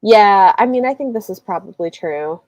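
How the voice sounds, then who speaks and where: A woman's voice: medium pitch, expressive, moderate pace, a little mellow, no room sound. A woman hosting a casual book discussion, thinking aloud in a rambling way with lots of fillers. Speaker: live show host. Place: indoors, livestream video call.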